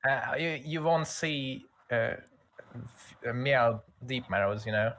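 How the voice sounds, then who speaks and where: Male player, mid-pitched, hesitant and stammering banter. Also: unhurried, a bit bright, slight background noise. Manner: hesitant, stammering, repeats words. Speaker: tabletop game player. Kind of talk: casual in-game banter.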